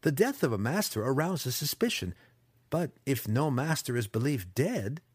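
Fantasy audiobook role for a male reader: young dark elf student, urgent, scheming, raised pitch with wide swings. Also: big pitch swings, moderate pace, husky, dry recording. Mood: earnest scheming. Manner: earnest, urgent.

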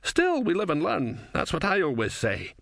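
A cheerful, pompous philosopher put on by a man. He rambles grandly, his pitch swooping high as he muses, in the manner of a storybook character. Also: wildly swooping pitch, moderate pace, vocal fry, a bit bright, no echo, studio quality, full character voice. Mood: cheerfully philosophical. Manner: musing, grandiloquent, amused. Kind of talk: audiobook character voice.

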